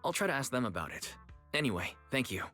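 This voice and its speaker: A man playing a calm, level-headed young investigator in a video game, measured and polite. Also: medium pitch, soft background music. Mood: polite, curious. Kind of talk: video game story dialogue acting.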